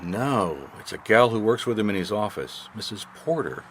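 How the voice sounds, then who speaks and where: Private detective, low lively voice, shrewd, wry, probing, radio drama. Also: male voice, normal speed. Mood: puzzled, watchful. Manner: probing, wry, seemingly casual questioning. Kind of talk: radio mystery drama acting. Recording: noisy radio drama recording.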